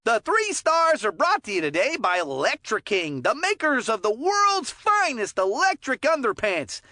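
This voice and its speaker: A goofy, folksy hockey commentator from a cartoon video game. The voice is very high and bright, swinging widely in pitch. The delivery is hammy, excitable announcer patter, full of homespun catchphrases. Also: female voice, normal speed, dry recording, clean studio sound. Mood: salesman-like. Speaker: sports commentator.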